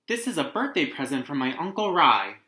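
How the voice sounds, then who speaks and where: A man voicing a gentle, courteous giant panda from a picture book, speaking formally. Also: on the high side, very animated, fairly quick. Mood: friendly. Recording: roomy indoor echo.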